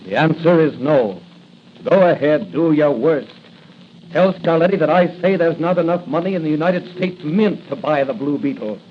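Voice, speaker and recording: An actor playing a defiant, upright crime-fighter in 1940s radio style, with a resolute, declamatory manner. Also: male voice, on the high side, lively intonation, normal speed, lightly acted. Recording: muffled 1940s radio broadcast, faint noise.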